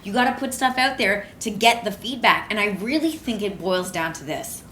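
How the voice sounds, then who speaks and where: A woman's voice: expressive, moderate pace, a bit bright. Direct, chatty woman co-host. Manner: direct, conversational, explaining step by step. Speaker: podcast co-host. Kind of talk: casual chat. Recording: echoey room with faint rumble.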